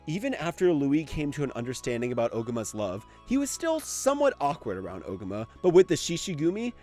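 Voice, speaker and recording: A man's voice: a little high, darkish tone. A young man giving enthusiastic video-essay commentary as a fan, casual and gushing, with some swearing.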